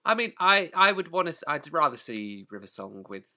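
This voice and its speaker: Lively, bright-voiced male co-host. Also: a little high, brisk. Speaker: podcast co-host. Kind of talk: casual comic banter.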